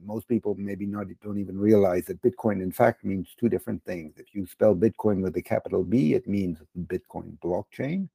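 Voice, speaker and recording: A middle-aged man from the United States with a gravelly, husky voice, explaining casually as an interview guest and often rephrasing himself. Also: fairly low pitch, moderate pace, hardly any pauses, dark tone, dry recording. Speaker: podcast guest, crypto educator and former bond trader.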